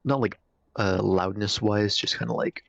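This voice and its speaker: A man chatting casually over voice chat with a soft, whispery tone. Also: lowish voice, steady tone, moderate pace, gravelly, no echo. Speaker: streamer's co-host friend on voice chat.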